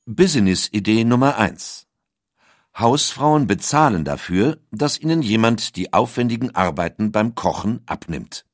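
A man reading a scripted documentary audiobook in a measured, articulate storytelling manner. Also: lowish voice, lively intonation, no echo, clean studio sound. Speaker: narrator.

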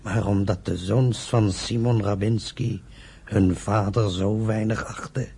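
Stern old-world dad in radio drama, very husky, dark mellow voice, wry and wounded. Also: male voice, fairly low pitch, fairly even intonation, full character voice. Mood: hurt, reproachful. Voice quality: very husky, gravelly. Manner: slow, wryly reproachful. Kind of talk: radio play acting.

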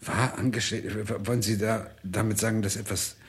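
A man's voice: fairly low pitch, little pitch movement, brisk, close to an everyday voice. An actor playing a drowsy, bewildered doctor in a German radio crime play, stammering as he talks. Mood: bewildered, alarmed.

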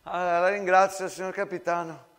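A man playing a humble, deferential young soldier with a halting way of talking in a stage drama. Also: sits high, little pitch movement, voice pushed up. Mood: timid, deferential. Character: humble, eager young male soldier, deferential and barely literate. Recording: long reverb, loud guitar music behind.

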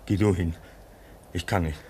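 An actor playing an earnest, shaken comrade at a polar station in a deliberate, theatrical German radio play. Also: male voice, deep voice, steady tone, a loud crowd, natural voice. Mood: moved, overwhelmed.

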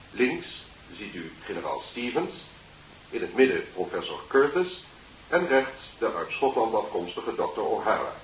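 An actor playing a formal, authoritative newsreader giving a scripted bulletin in a radio drama, with emphatic delivery. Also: male voice, mid-range voice, close to an everyday voice. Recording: echoey, dull, hissy old broadcast.

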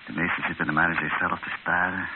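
An actor playing a respectful, sombre aide to a missionary bishop in a radio drama, measured and deferential. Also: male voice, sits low, little pitch movement, natural voice. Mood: bleak. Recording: hissy, dull old radio recording.

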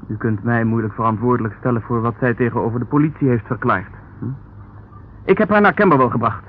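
A man's voice: mid-range voice, fast talker, close to an everyday voice. Noisy tinny old radio drama, male actor as a snooty lord, pompous, evasive, talks down to people. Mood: indignant. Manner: pompous, condescending. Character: haughty, evasive English lord, indignant dissolute aristocrat. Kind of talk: Dutch radio detective drama acting.

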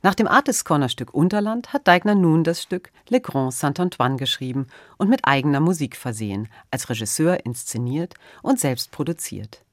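A woman's voice: deep voice, animated, normal speed, hardly any pauses, dry recording, studio quality. A woman speaking as a radio host, presenting and asking prepared questions in an interview style.

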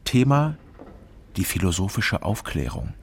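An actor playing a world-weary private detective, narrating a radio crime drama in a dry, matter-of-fact way. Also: male voice, on the low side, big pitch swings, measured pace, gravelly, slight background noise, heavily acted. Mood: dry, observant. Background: music bed.

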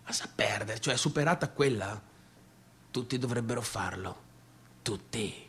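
An actor playing a brash, unsettled only son with a Venetian accent, talking colloquially and repetitively straight to the audience in a radio drama. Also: male voice, medium pitch, a little slow, fairly bright tone. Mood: defensive, confiding. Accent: Venetian, northeastern Italian. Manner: colloquial, repetitive, swinging between clowning and defensiveness. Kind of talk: theatrical radio-drama monologue addressed to the audience.

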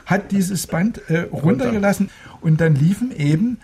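An older man, a veteran radio engineer with a gravelly, husky voice, reminiscing among colleagues in animated conversation. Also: sits high, normal speed.

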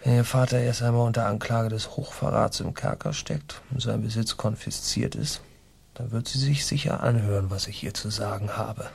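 An actor playing a scheming, vengeful colonial army captain in a German radio play, speaking in a pompous, formal and menacing way. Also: male voice, fairly low pitch, fairly even intonation, normal speed, vocal fry, a little mellow, husky, full character voice. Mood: gloating, scheming.

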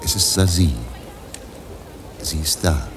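A man playing a slow, portentous watcher announcing an arrival in a German radio play. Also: deep voice, steady tone, crowd noise, noisy background.

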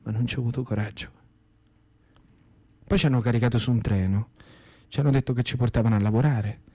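A man's voice: mid-range voice, fairly even intonation, quick pace, dark tone, dry recording. A man with a Roman Italian accent performing a dramatic first-person wartime monologue in short, colloquial, theatrical phrases. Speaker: stage actor and storyteller.

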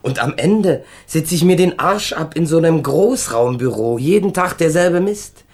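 A man's voice: a little high, animated, normal speed, darkish tone. Brash, slangy young male ex-convict. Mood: scornful. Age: young adult. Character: defiant young ex-convict, cynical, crude, remorseless. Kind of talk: German radio crime drama acting.

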